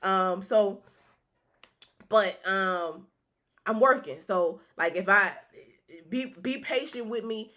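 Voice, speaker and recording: A woman's voice: mid-range voice, slow, pauses often, bright, forceful tone. Woman rambling through a casual live stream. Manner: rambling and loose, many restarts, casual swearing. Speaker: livestream host reading tarot cards.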